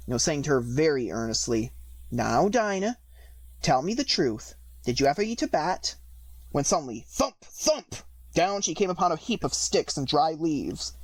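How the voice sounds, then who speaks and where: A man narrating a children's storybook as an expressive audiobook reader. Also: medium pitch, no room sound.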